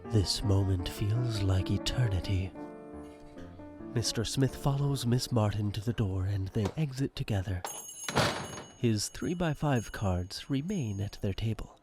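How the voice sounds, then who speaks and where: Male storyteller over clear music. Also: fairly low pitch, animated, unhurried. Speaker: narrator. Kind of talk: scripted dramatic narration.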